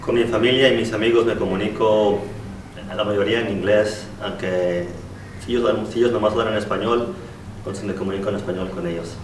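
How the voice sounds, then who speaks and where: A man from California speaking calmly and matter-of-factly in a student interview. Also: lowish voice, a little fast, slight background noise. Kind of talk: testimonial interview. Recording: echoey, distant, faint guitar.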